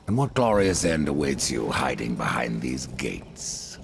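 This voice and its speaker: A man's voice: a bass voice, sing-song, unhurried, vocal fry, background noise, full character voice. An actor playing a grim male horseman of death, dry, terse and cutting, with game music behind him. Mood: mocking.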